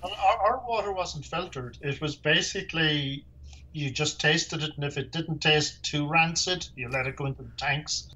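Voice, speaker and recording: A man's voice: on the high side, normal speed, bright, forceful tone, poor audio. A middle-aged man with an Irish accent, a former lighthouse keeper answering interview questions in a plain, matter-of-fact way with dry humor. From Ireland.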